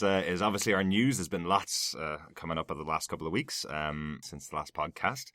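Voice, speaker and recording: A man's voice: low voice, fairly bright tone, no room sound, clean studio sound. A man hosting a casual podcast, chatty, laughing easily and full of fillers and restarts. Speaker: podcast host.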